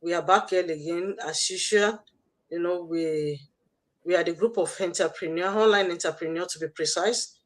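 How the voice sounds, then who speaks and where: A woman's voice: on the low side, moderate pace. A woman with a Nigerian accent hosting a motivational livestream, exhorting listeners and repeating her phrases. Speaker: host, online business mentor.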